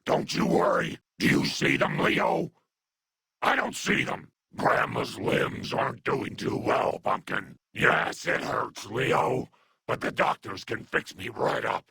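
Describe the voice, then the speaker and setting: A man voicing a doting, scolding, unhinged elderly mutant grandmother in a video game, with a gravelly, husky, breathy voice and cooing pet names. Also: medium pitch, very animated, slow pace, sharp tone, no room sound, heavily acted. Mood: concerned, reassuring. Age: older. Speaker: video game companion character. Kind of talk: video game character acting.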